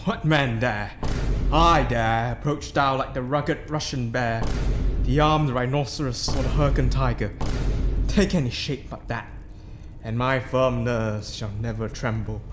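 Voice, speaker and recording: A man's voice: medium pitch, unhurried, over music, close to an everyday voice. A man playing a guilt-haunted, boastful and terrified king in a theatrical reading of a Shakespearean tragedy. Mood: defiant. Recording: noisy amateur recording.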